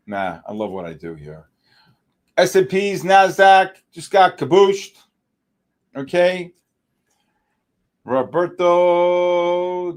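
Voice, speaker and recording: A middle-aged man hosting a live trading stream, joking and hyping up his viewers. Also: high-pitched. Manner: excitable, joking, hypes viewers. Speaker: livestream host.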